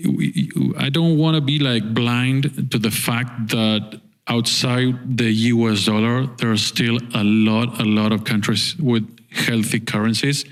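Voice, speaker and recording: A man's voice: medium pitch, steady tone, a little slow, hardly any pauses. Earnest man on a panel. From Argentina. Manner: earnest, repeats words as he thinks. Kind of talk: conference panel discussion.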